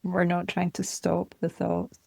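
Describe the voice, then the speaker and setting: Low, creaky-voiced woman guiding meditation. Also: dry recording. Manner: calm, gentle, measured, with short phrases. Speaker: meditation teacher. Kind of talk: guided body scan meditation.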